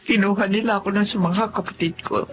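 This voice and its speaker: A woman performs a longing middle-aged Filipino mother in a radio drama. Her mid-pitched voice is solemn and emotional, with light music behind. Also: steady tone, quick pace, lo-fi sound, lightly acted. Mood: tearful, longing.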